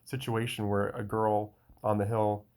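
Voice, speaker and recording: Young adult man, lowish voice, loose rambling solo talk with many restarts. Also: flat delivery, a little slow, a little mellow. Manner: rambling, frequent restarts and fillers. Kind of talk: casual solo monologue.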